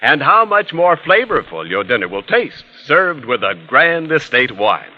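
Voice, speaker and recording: A man's voice: on the high side, sing-song, a little slow. An American man reading a scripted 1940s radio commercial as a polished, florid announcer. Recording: dull old 1940s radio recording.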